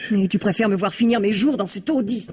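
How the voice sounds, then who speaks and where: An actress playing a scheming, dissatisfied wife in a vintage French radio mystery, with a sarcastic, insistent, reproachful manner. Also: female voice, mid-range voice, brisk, dark tone, breathy edge, lightly acted. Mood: bitter. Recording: slightly muffled vintage radio drama recording.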